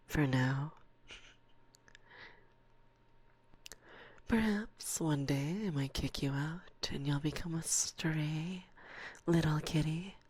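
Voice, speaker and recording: A woman's voice: deep voice, a little mellow, slight background noise. A woman playing a teasing, domineering forest witch in an ASMR roleplay, speaking breathily and close to a binaural microphone, slipping into whispers. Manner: teasing, condescending, slow and deliberate, often slipping into whispers.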